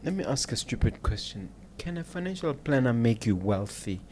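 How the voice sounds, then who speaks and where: A man hosting a podcast, asking questions in a halting way with restarts and murmuring agreement while his guest talks. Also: medium pitch, big pitch swings, a little mellow, a little hiss or hum. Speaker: podcast host interviewer. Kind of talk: casual interview.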